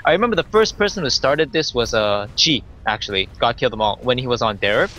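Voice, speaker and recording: A man's voice: mid-range voice, animated, quick pace, pushed and bright, muffled recording, slight background noise. A young man from Canada co-casting an esports match, chatty and analytical, laughing often as he breaks down plays. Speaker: esports co-caster. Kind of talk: esports commentary.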